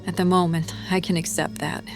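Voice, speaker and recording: An actress playing a stoic pregnant woman who downplays her pain in a measured, understated way, in a dramatised audiobook. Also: fairly low pitch, little pitch movement, over music, natural voice. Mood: strained but composed.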